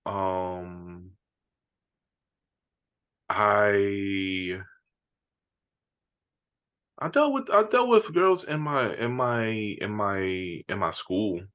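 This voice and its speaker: Laid-back man in his thirties, bright voice. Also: lowish voice, big pitch swings, extremely slow, long pauses, clear, resonant tone. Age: 30s. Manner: laid-back, laughs a lot, self-mocking. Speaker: podcast co-host. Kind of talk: casual chat.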